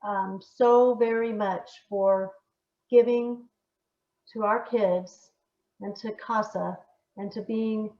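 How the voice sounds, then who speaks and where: A woman's voice: medium pitch, a little hiss or hum. A woman, a nonprofit director, giving an informal thank-you speech over an echoey, muffled video call.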